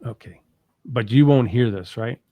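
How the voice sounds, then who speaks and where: A man's voice: mid-range voice, normal speed, no echo, muffled recording. Male tutorial co-host, casual, tentative step-by-step talk, close dry sound. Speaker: co-host demonstrating software. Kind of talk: casual live tutorial walkthrough.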